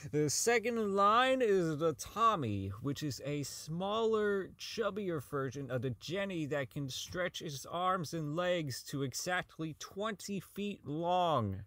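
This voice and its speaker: A man's voice: fairly high pitch, lively intonation, takes it slowly, hardly any pauses, fairly bright tone, dry recording. A man playing a socially inept, unravelling robot designer in an audio drama log, rambling, stammering, swearing and repeating himself. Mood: proud pitch.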